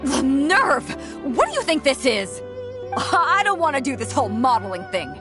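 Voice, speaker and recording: A feisty young woman game character, high-pitched and very animated. She is huffy and indignant, exclaiming in short bursts over clearly audible music. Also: normal speed. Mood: indignant, annoyed. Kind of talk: video game voice acting. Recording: music behind the voice.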